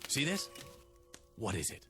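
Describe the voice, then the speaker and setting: An actor playing an excitable, earnest playwright husband in a radio drama, pleading and stammering with dramatic emphasis. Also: male voice, a little slow, over music, close to an everyday voice. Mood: quiet, confiding.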